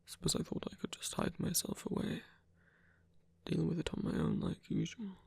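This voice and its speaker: A man playing a weary, tender villain laid up in pain, speaking haltingly and softly in an intimate ASMR roleplay. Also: on the high side, huge pitch swings, no room sound, heavily acted. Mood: weary, reassuring. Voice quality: breathy, creaky. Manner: hesitant, halting, soft-spoken.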